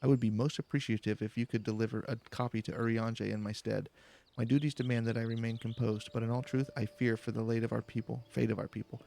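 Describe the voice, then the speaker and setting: A man reading video game dialogue aloud in a calm, slow, deliberate way for a let's play. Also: mid-range voice, vocal fry. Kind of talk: calm, slow-paced read-aloud narration. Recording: faint background music. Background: faint game music.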